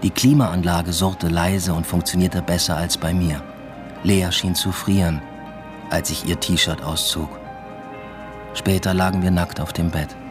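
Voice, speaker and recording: A man's voice: sits low, level tone, a little slow, over music. An actor playing an introspective young doctoral student who narrates his own story with restraint in a literary radio drama. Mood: reflective.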